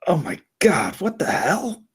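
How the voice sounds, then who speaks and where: A man's voice: high-pitched, sing-song, measured pace, breathy edge, heavily acted. A man playing a sarcastic, exasperated first mate in a comedy table read, with a dry, complaining manner. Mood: disgusted.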